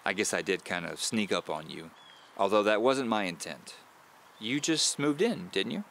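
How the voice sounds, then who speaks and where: A man's voice: on the low side, expressive, moderate pace, creaky, a bit bright, a little hiss or hum. A man voicing a cheerful, chatty, nosy woman of about seventy in an audio drama, with a folksy, fussing manner. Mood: cheerful, welcoming.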